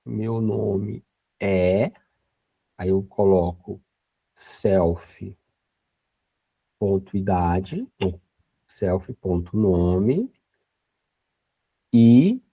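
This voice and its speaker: A man's voice: deep voice, expressive, drawn-out words, creaky, long pauses, breathy edge, no echo. A man in his forties teaching a programming class, explaining each step patiently with frequent tag questions. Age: 40s. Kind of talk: screen-recorded coding lesson. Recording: dry, dull lo-fi sound.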